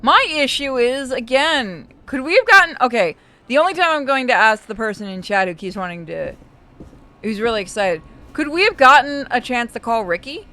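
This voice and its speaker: A woman's voice: on the high side, very animated, moderate pace, pushed and bright. A woman giving casual, blunt, bantering gameplay commentary as a streamer. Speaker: game streamer.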